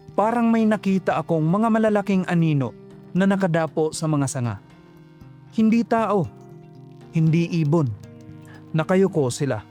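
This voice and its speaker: A young man telling a horror story in first person, his voice pitched high and tight with suspense. the telling is scripted and builds dread line by line, with faint music underneath. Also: a little mellow. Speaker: narrator.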